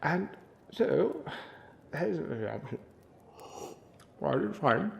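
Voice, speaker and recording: A man's voice: a little high, very animated, very slow, vocal fry, highs cut off, heavily acted. A man playing a befuddled old wizard who mumbles and rambles through tall tales in a comic ASMR roleplay, trailing off into muttered asides. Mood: befuddled reminiscing. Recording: roomy echo, faint background noise and ambience.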